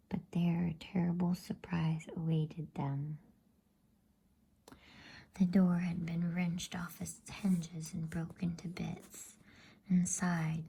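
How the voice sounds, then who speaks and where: Slow, breathy whispering woman. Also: lowish voice. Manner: slow, hushed, soothing delivery. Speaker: storyteller. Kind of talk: whispered ASMR bedtime story reading.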